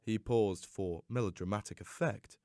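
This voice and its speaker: A man giving a theatrical, expressive scripted reading of a story as an audiobook narrator. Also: fairly low pitch, moderate pace, no echo, studio quality.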